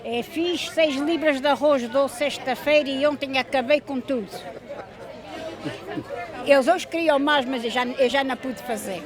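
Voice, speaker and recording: A woman's voice: high voice, unhurried. An older woman from Portugal, a festival volunteer selling sweets, chatting in an interview and slipping in English words. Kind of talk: casual interview. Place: outdoor festival. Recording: outdoor crowd noise. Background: crowd chatter.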